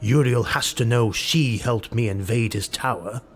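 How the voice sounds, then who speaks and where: A man voicing a proud, suspicious highborn lord in an audiobook, curt and commanding. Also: a little slow, faint music behind, lightly acted.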